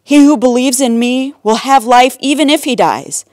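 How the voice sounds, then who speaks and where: Lady doing a calm reassuring holy man for kids storytime, solemn, close dry sound. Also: sits high, moderate pace, no echo. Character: calm, assured male religious teacher in Bible story.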